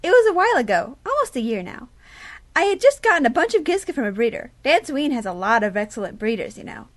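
A woman's voice: high voice, sing-song, quick pace. A woman acting a naive, chatty teenage girl who gushes in childish slang, in an amateur audio drama. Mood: bubbly, gushing.

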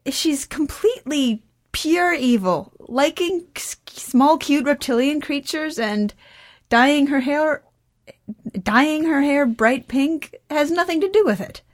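A teenage girl talks casually as a young podcast creator. Her voice is fairly high. She rambles, cuts into her own sentences and keeps a dry, joking manner. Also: lively intonation, takes it slowly. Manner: rambling, self-interrupting, dryly joking. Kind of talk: casual chat.

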